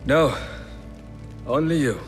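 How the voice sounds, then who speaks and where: An actor playing a badly wounded, loyal warrior in a video game, weak, halting and solemn. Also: male voice, mid-range voice, animated. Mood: grave resignation. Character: mortally wounded loyal warrior brother, principled and resigned. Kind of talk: cinematic video game acting. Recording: long hall reverb, music behind.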